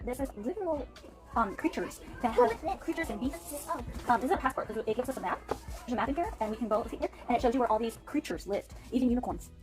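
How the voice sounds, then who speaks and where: A woman chatting casually and enthusiastically in a vlog, as a homeschooling mother talking to viewers and her children. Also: a little high. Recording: music behind the voice. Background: music.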